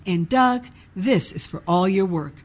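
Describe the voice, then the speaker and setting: A woman voicing a stern, brisk mother of a large family from a storybook. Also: medium pitch, lively intonation.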